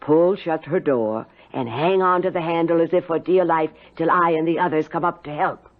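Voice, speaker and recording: An actress playing a pious, brisk older aunt in a radio drama, with a firm way of hushing and ordering. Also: female voice, low voice, natural voice. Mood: weary, bossy. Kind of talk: 1970s radio drama acting. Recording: tinny, narrow old radio recording.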